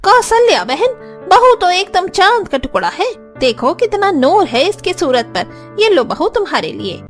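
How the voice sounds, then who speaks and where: A woman voicing a theatrical, sharp-tongued middle-aged gossip of a neighbour in a cartoon story. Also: very high voice, sing-song, a little slow, faint music behind, exaggerated voice. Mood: admiring.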